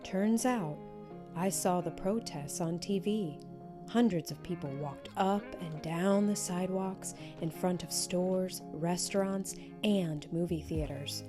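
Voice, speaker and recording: A woman's voice: lowish voice, takes it slowly, music in the background. A woman reading a children's story expressively, as scripted narration, acting out the dialogue. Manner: animated, acts out each character's dialogue. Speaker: storybook reader.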